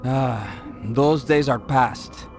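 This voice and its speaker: An actor playing a knowledgeable, obedient male informant in a video game cutscene, reporting in a measured, explanatory manner. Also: mid-range voice, faint music behind. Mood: measured, informative.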